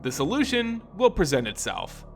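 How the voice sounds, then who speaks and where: Man voicing a lofty, calm old vampire aristocrat. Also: high voice, voice pushed up, heavily acted. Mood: calm, dismissive. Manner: measured, dismissive, lofty. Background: background music.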